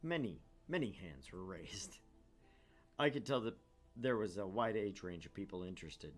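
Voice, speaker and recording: A man's voice: on the low side, expressive, normal speed, soft background music. A man hosting a stream, playful and chatty, moving between casual talk and narrating a visual novel. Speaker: streamer, co-host.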